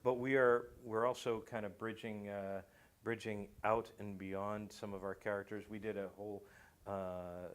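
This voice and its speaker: Low-pitched man chatting, halting delivery. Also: flat delivery, clean tone. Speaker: radio show creator, guest. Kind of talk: casual talk-show conversation.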